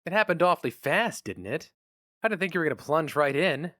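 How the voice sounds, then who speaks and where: A man voicing a worried young wife who speaks hesitantly, affectionately and with gentle pleading. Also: on the high side, lively intonation, brisk, no echo, clean studio sound, voice pushed up. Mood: worried, doubtful.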